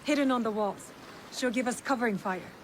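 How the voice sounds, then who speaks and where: A woman's voice: on the high side, a little fast, slight background noise, close to an everyday voice. A woman playing a blunt, wary young shinobi in a video game, with dry, terse delivery. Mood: matter-of-fact. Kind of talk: video game cutscene voice acting.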